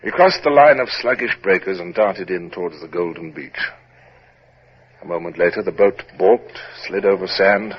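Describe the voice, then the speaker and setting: An actor playing a resolute British naval captain in a 1950s radio drama, crisp and authoritative as he narrates and gives orders. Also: deep voice, normal speed. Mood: narrating. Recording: noisy muffled old radio recording.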